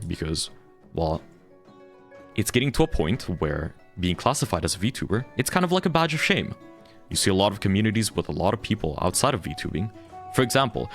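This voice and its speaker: A young adult man with a deep voice, a streamer ranting frankly and heatedly about his community and swearing freely. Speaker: VTuber streamer. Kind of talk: candid ranting monologue.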